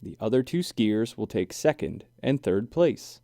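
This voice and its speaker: Male animal judge for a children's tale, voiced by a man, official, measured rule-giver with animated intonation. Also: lowish voice, no room sound, studio quality. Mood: official, instructive. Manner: instructive, emphatic, official. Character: male animal judge explaining storybook game rules.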